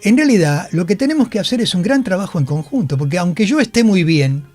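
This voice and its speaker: A man's voice: high voice, expressive, quick pace, a little mellow, faint music behind. A middle-aged man with an Argentine accent talking in a radio interview, emphatic and opinionated, often repeating himself. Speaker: guest, theatre actor and director. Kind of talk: animated radio interview conversation. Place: radio studio.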